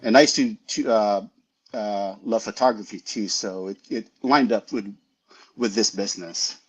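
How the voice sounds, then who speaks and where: A man's voice: on the low side, normal speed, slightly rough, low-quality recording. A man chatting modestly and a bit hesitantly, with fillers. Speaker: guest, tech-savvy team member. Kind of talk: casual livestream chat.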